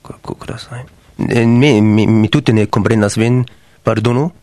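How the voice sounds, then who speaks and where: A man's voice: fairly low pitch, fairly even intonation, normal speed, a little mellow, no room sound, lightly acted. An actor in a live radio play, playing a flustered but helpful male newspaper seller who stammers and hesitates. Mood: confused. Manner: stammering, hesitant, searching for words.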